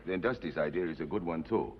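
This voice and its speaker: An actor playing an aging Mexican bandit leader in an old TV western, calm and deliberate, courteous with quiet menace. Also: male voice, deep voice. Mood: commanding. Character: measured, authoritative aging Mexican outlaw gang chief. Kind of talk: 1950s television western acting. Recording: dull, noisy old TV western soundtrack.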